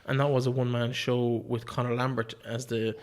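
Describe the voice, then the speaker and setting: A man hosting a casual interview in an informal, gently teasing way. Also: medium pitch, little pitch movement, fairly quick. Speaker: interviewer, host.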